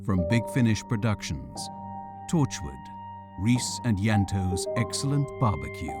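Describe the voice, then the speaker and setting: A man announcing audio drama titles in a measured, formal scripted style. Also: lowish voice, big pitch swings. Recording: music under the announcement.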